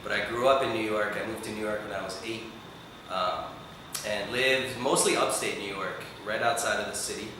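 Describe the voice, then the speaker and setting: Laid-back man, deep, echoey. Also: fairly even intonation, moderate pace. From Iran. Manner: laid-back, rambling with fillers. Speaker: guest musician. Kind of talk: casual interview chat. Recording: echoey room from a distance, background noise.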